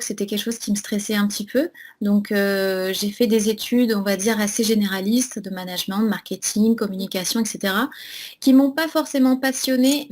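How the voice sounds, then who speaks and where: Woman, young adult, from French Guiana, interview guest, hesitant, fairly high pitch. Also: darkish tone. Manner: hesitant, many fillers and restarts. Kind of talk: remote interview conversation.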